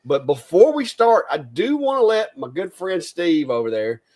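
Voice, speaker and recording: A man's voice: sits high, big pitch swings, moderate pace, a little mellow. Host, male, middle-aged, easygoing and chatty, often restarts his sentences. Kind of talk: casual live-stream chat.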